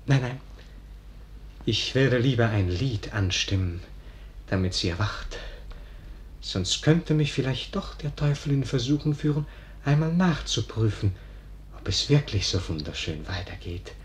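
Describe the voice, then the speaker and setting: Man acting a slow, smitten young page, old noisy recording. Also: mid-range voice, animated, natural voice. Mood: excited, tempted. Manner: gushing, halting, hushed, lingering on words. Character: smitten young page, boyish, rapturous, tempted lover. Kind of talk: 1940s German radio play acting. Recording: noisy 1940s radio recording.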